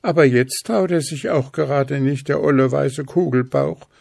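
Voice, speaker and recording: A small male forest critter, as a man voices him, pitched higher than a man's voice and a little gruff. A grainy rasp runs through it, and the pace is unhurried. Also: expressive, darkish tone, no echo, clean studio sound. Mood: annoyed. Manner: gruff, then welcoming.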